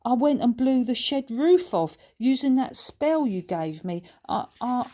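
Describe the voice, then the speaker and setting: An excitable mother from a children's storybook, acted out by a woman reader. She gushes in colloquial, ungrammatical speech and fancies herself a grand sorceress. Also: fairly high pitch, measured pace, pitched above the performer's own voice. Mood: confiding.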